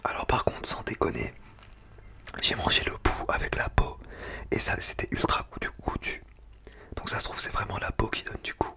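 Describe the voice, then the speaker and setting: An ASMR creator, speaking in a breathy, unvoiced whisper in an informal, slangy, chatty way while reviewing food. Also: moderate pace, pushed and bright. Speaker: ASMR video creator and host.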